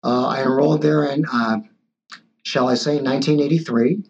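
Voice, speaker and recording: A man's voice: medium pitch, steady tone, moderate pace, darkish tone. A man in his sixties, a physician guest, answering interview questions in a hesitant way with many fillers and restarts. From born in Taiwan, raised in Los Angeles. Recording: dull lo-fi audio.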